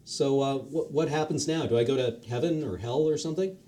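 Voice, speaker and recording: A man's voice: medium pitch, a little fast, dark tone, natural voice. Confused just-died guy in his late 40s, stammery and full of questions, read by a man. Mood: bewildered. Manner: hesitant, questioning. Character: newly dead man, 48, bewildered and curious.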